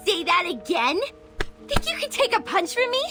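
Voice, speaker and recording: A brash young female film director for a video game. Her voice is very high and bright, with a hard edge. She is snappy, combative and quick to temper. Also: sing-song, soft background music, full character voice. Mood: angry, threatening. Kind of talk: dramatic video game voice acting.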